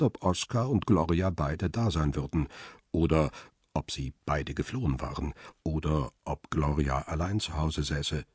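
A man's voice: very deep voice, a little mellow, slightly rough, no room sound, studio quality. A man playing a world-weary paparazzo who narrates his own story soberly in a radio crime drama. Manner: sober, reflective.

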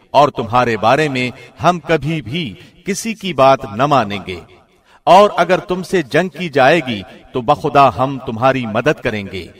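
A man with a medium-pitched voice reads translated scripture aloud. His delivery is formal and measured, like a professional radio presenter working from a script. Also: fairly bright tone. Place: studio.

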